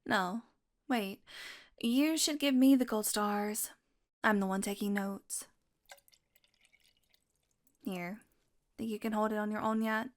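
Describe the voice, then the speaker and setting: A woman's voice: medium pitch, steady tone, slow, pauses often, close to an everyday voice. A woman acting a playful, sardonic, curious young vampire in an intimate audio roleplay. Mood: playful, wry. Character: teasing, curious young female vampire doing experiments.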